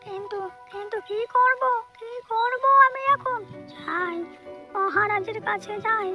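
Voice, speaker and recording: A performer voicing a shrill, whining, vengeful female witch ghost in a cartoon, given to theatrical repeated exclamations. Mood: distraught, vengeful. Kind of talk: Bengali cartoon voice acting. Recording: loud music behind the voice.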